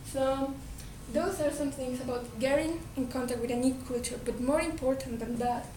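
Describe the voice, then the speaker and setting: A woman with a Colombian Spanish accent gives an earnest talk, often restarting her words. Her voice sits high. It is picked up from a distance in an echoey hall, with steady noise and a low rumble behind her. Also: normal speed. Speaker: speaker giving a talk. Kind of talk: presentation to an audience.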